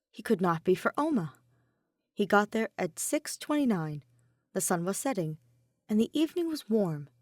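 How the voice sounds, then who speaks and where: A woman's voice: mid-range voice, a little mellow, no room sound, studio quality. A woman reading a scripted piece of fan fiction as its narrator. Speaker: podfic narrator.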